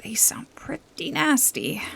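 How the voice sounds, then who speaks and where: A woman playing a wry, bossy detective-bodyguard in an intimate noir ASMR roleplay, with a teasing, casually threatening manner and a breathy voice that often drops toward a whisper. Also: high voice, big pitch swings, takes it slowly, pushed and bright, full character voice. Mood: sardonic, menacing.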